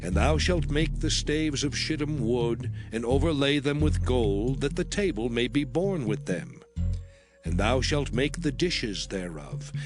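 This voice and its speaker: A man reading scripture aloud as dramatized audio Bible narration, formal and solemn, with measured phrasing. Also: medium pitch. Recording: prominent music under the voice. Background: music.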